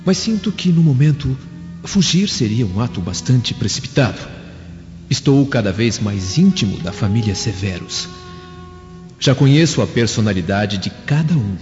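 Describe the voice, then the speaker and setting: Earnest young slave, reverberant. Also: male voice, lowish voice, a little mellow. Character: young male slave, devoted son, scheming revenge. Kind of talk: radio drama acting, letter monologue. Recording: dull recording, long reverb, faint music. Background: music.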